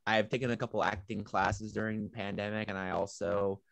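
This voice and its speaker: A man's voice: on the low side, level tone, a little fast, gravelly, fairly bright tone, no room sound. A young man chatting casually as an actor on a video call. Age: young adult. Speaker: amateur actor on a panel. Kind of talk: casual chat. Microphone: video call microphone.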